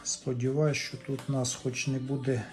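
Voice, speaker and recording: A man gives casual step-by-step repair commentary as a hobbyist, thinking aloud in an unhurried, tentative way. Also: medium pitch, little pitch movement, music in the background. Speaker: hobbyist repairer presenting a DIY video.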